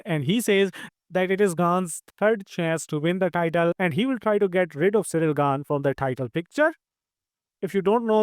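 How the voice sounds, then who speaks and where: A man with an Indian accent hosting a channel, reading combat sports news in a steady scripted way. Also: on the high side, smooth, no echo, clean studio sound. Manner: quick, steady news read. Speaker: news host.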